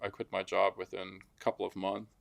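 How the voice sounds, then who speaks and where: A man's voice: low-pitched, little pitch movement, a little fast, gravelly. A man from Germany with a German accent, telling personal stories casually as an interview guest. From Frankfurt, Germany. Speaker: interview guest, construction business founder.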